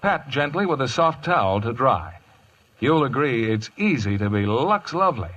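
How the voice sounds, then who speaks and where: An American man working as a 1950s radio announcer, reading scripted copy with polished, measured enunciation. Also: medium pitch, big pitch swings, fairly bright tone, lo-fi sound. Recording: vintage radio broadcast with music. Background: music.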